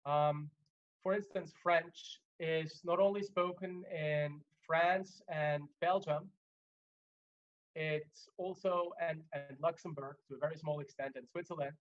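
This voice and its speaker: Male lecturer, German native, slightly high voice, measured with fillers, muffled webinar sound. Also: steady tone. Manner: measured, many fillers and restarts. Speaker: legal trainer. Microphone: video call.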